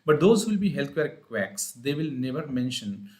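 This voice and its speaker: A man with an Indian accent giving an informal live explanatory talk as a speech therapist, in a halting, repetitive, teacherly manner. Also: medium pitch, sing-song, a little mellow. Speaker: speech-language pathologist hosting an advice livestream.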